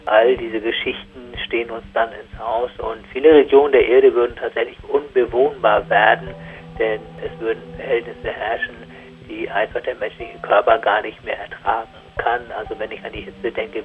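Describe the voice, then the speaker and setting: A man's voice: lowish voice, narrow, tinny audio. A man speaking as a scientific expert, explaining in a serious, spontaneous way, as in an interview.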